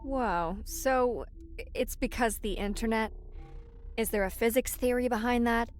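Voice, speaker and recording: An actress playing a curious, reasonable podcast co-host, inquisitive and explanatory in a natural conversational audio drama style. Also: female voice, fairly high pitch, unhurried, faint music behind, natural voice.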